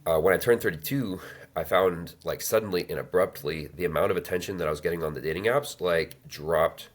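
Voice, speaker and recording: A man in his thirties telling his life story as an interview guest, halting with many fillers and restarts. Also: deep voice. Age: 30s. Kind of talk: reflective personal storytelling.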